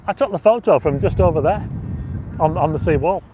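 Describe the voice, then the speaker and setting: A man hosting a casual livestream on a windy seafront at dusk, chatting and reading out viewer comments, his voice going hoarse with frequent throat clearing. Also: a little high, brisk. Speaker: livestream host. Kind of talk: casual walking livestream chat.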